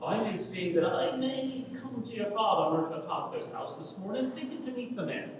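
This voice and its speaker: A man's voice: high voice, lively intonation, normal speed. A man playing a talkative, earnest young Irish countryman with a wordy, rhetorical manner, in a dramatic reading of a rural stage comedy. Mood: eager, proud. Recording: echoey hall from far off, faint noise.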